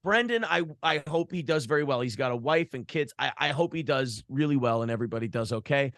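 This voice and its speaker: A man's voice: medium pitch, expressive, brisk, fairly bright tone, no room sound, clean studio sound. An American man talking casually as an interview guest, blunt and opinionated, with profanity and the practised talk of a radio show host. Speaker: morning show host and former radio broadcaster.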